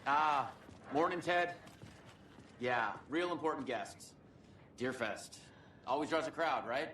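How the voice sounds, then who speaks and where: An actor playing an affable, understated small-town sheriff in a naturalistic video game style. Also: male voice, medium pitch, slow, a bit bright, a little hiss or hum. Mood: genial, covering up. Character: easygoing, folksy small-town male sheriff, wryly friendly.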